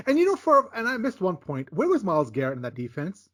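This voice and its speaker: A man's voice: high-pitched, wildly swooping pitch, fast talker, a bit bright. A man co-hosting a sports podcast, giving emphatic, casual takes on football games and repeating "listen, man" as he goes. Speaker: podcast co-host. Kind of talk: casual sports betting chat.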